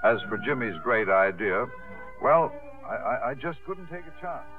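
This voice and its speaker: An actor playing a pragmatic, courteous theater manager in a 1950s radio drama, with a measured, businesslike manner. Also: male voice, medium pitch, big pitch swings, natural voice. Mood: reflective narrating. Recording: muffled narrow-band old radio, music behind.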